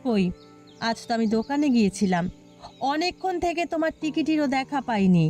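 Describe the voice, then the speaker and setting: A woman's voice: high voice, sing-song, brisk, warm tone, faint music behind. A woman voicing a quarrelsome, suspicious village wife in a cartoon, with a scolding, accusing manner. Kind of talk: cartoon dialogue acting.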